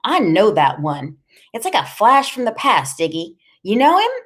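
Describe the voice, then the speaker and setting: Woman doing a nosy biker moll, swooping high. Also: very animated, moderate pace, natural voice. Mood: puzzling. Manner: colloquial, wheedling. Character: squinty, smoking, nosy biker woman, timid before boyfriend.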